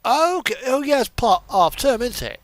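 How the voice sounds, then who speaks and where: Guy streaming a game, rambling to himself, pitch all over the place, dry close sound. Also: sing-song, measured pace, no echo. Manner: rambling, thinking aloud, trailing off. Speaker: streamer playing a truck simulator. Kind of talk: casual chat, game commentary.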